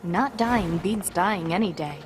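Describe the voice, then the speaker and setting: A woman's voice: on the low side, a little slow, background noise, natural voice. Woman playing a cool, strict android soldier. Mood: firm. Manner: cool, restrained, matter-of-fact. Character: composed, strict female combat android soldier. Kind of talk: English video game voice acting. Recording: game music playing loudly behind.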